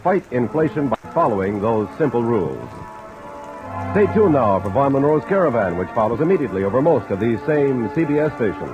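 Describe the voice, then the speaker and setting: A man's voice: mid-range voice, sing-song, brisk. A man announcing in formal, emphatic 1950s network radio style, reading from a script. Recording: muffled old radio broadcast, music and rain.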